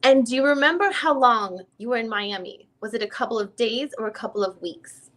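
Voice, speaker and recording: Bright, high-pitched woman playing a probing female lawyer. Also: fairly quick, lightly acted. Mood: probing, businesslike. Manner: questioning, restarts and rephrases questions. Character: female defense lawyer questioning a witness, probing and persistent. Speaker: reader playing a deposing attorney. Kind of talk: dramatic reading of a deposition transcript.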